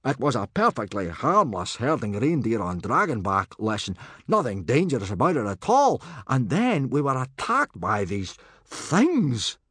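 A man's voice: mid-range voice, wildly swooping pitch, takes it slowly, fairly bright tone, slightly rough, no echo, clean studio sound, voice pushed up, exaggerated voice. A man voicing a flustered, defensive Viking warrior who talks earnestly in a storybook reading.

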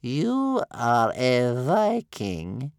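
A man voicing a bitter, sceptical old grandmother in a storybook, stern and sarcastic, with a slow, spitting delivery. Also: medium pitch, huge pitch swings, clean tone, no room sound, studio quality, voice pushed up, heavily acted. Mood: bitter distrust.

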